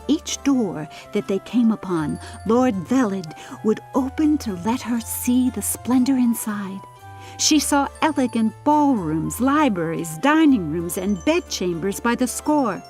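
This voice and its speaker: A woman narrating a fairy-tale audiobook in a measured, expressive storytelling style. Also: fairly high pitch. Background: music.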